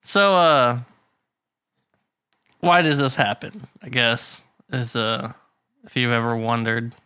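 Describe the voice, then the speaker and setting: A man's voice: medium pitch, steady tone, takes it slowly, pushed and bright, clear, resonant tone, dry recording. A man co-hosting a casual podcast chat, rambling with frequent restarts and laughing often. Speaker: podcast co-host. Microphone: pop filter.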